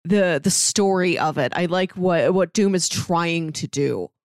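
A woman's voice: lowish voice, measured pace, no echo, studio quality. A woman co-hosting a podcast in casual, conversational chat. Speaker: podcast co-host.

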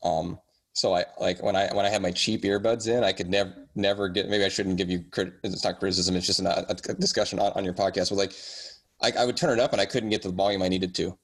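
A man with a low voice chats as a guest on a podcast. His delivery is casual and meandering, and he keeps softening and qualifying his own points. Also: fairly even intonation, quick pace. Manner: rambling, tentative, self-qualifying.

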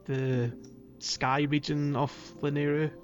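A man's voice: fairly high pitch, level tone, takes it slowly. A man co-hosting a podcast, talking casually as he guides listeners through a video game. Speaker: podcast co-host. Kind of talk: casual chat, guiding a game playthrough. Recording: slightly dull lo-fi sound, music behind. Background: background music.

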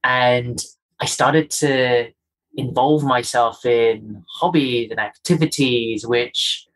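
A man's voice: mid-range voice, takes it slowly, fairly bright tone, rough recording. A man from Kenya, a guest in an interview, explaining his ideas earnestly, often in lists of rhetorical questions. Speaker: guest, culture and inclusion executive.

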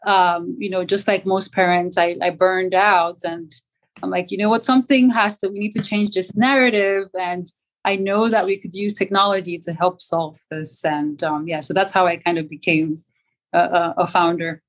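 A woman's voice: lowish voice, steady tone, moderate pace. Nigerian-raised woman founder, conversational. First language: Yoruba. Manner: conversational, many fillers and restarts. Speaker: startup founder, guest. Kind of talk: casual interview answers.

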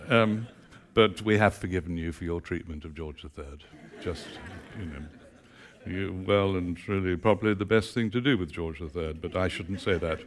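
A man preaching to a church congregation, mixing dry teasing humour with steady scripture reading. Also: fairly low pitch. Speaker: guest preacher. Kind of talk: sermon. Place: church auditorium.

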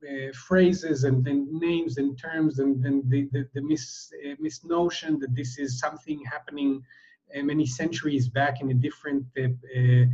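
A middle-aged man, a Hebrew speaker, answering interview questions haltingly, full of fillers and restarts. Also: medium pitch, mellow, breathy edge. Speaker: guest, translator. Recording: dull, muffled sound.

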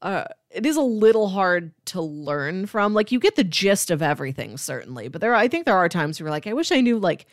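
A woman's voice: lowish voice, sing-song, brisk, hardly any pauses, no room sound, studio quality. A woman co-hosting a casual review discussion, thinking aloud in a conversational, hedging way. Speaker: podcast co-host reviewing comics.

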